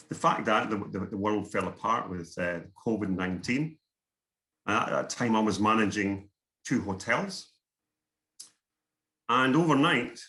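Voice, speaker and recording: A man's voice: fairly low pitch, sharp tone. A middle-aged man from Scotland with a Scottish accent, giving a workshop talk over a video call, earnest and often repeating his words. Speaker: guest speaker. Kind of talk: online workshop presentation.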